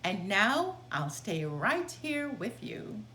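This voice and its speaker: A woman voicing a tiny, plucky, mischievous boy in a children's storybook read-aloud, excitable and calling out. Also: medium pitch, slow pace, slight background noise, exaggerated voice. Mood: contented.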